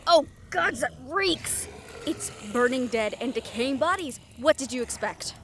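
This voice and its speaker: A woman's voice: sits high, animated, measured pace, a bit bright, slight background noise. A woman voice-acting a tough young fighter in a fantasy action audio roleplay, with dramatic, sweary banter.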